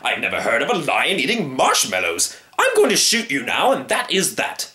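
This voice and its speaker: A man's voice: fairly high pitch, huge pitch swings, normal speed, sharp tone, echoey room, voice pushed up, exaggerated voice. A man voicing a pompous, alarmist hunter from a storybook, speaking in an emphatic, exclamatory way. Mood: blustering, insistent. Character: blustering, alarmist, pompous male lion hunter.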